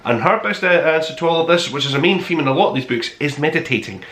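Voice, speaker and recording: A man's voice: a little high, lively intonation, fast, fairly bright tone, slight background noise. A man hosting a casual book review, talking to the viewer in an enthusiastic, rambling, conversational way. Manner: enthusiastic, effusive. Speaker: podcast host reviewing books. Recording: roomy, echoing room sound.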